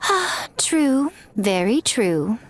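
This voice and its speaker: An elegant, mysterious shrine priestess in an anime game. Her voice is fairly high and swoops expressively as she teases with sly, playfully haughty poise. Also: big pitch swings, slight background noise, full character voice. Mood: coy. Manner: playfully haughty, teasing, drawn-out phrasing.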